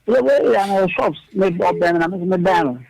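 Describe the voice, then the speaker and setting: A man's voice: sits high, a little fast, vocal fry, darkish tone, a little hiss or hum, low-quality recording. A man on a radio talk show, stammering and repeating words.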